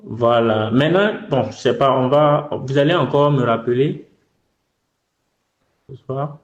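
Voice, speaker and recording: An echoey, slightly dull phone recording of a man with a West African French accent. He speaks at a middle pitch in private conversation. His delivery is hesitant, with words repeated as he goes. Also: measured pace. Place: indoors, in a bedroom on a phone call.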